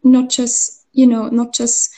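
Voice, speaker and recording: A woman's voice: on the high side, completely flat delivery, takes it slowly, mellow. A woman from Austria, an artist, talking in a casual interview with lots of fillers and restarts. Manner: hesitant, many fillers and restarts. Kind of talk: conversational interview.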